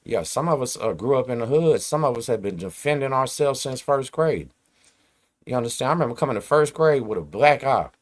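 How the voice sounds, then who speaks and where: A man's voice: medium pitch, animated, a little fast. A man, hosting his channel and ranting to camera in emphatic streetwise slang. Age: 40s. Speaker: host. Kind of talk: casual rant to camera.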